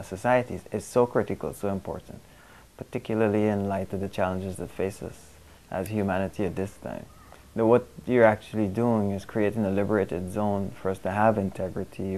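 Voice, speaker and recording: A man from Guyana who answers interview questions thoughtfully, pausing often with "um" as he searches for words. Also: sits low, warm tone, a little hiss or hum, rough recording. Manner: thoughtful, halting, with many pauses and "um"s.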